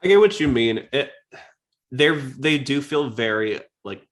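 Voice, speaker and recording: A man's voice: mid-range voice, animated, unhurried. A man hosting a podcast, talking casually about anime in a conversational way. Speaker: podcast host.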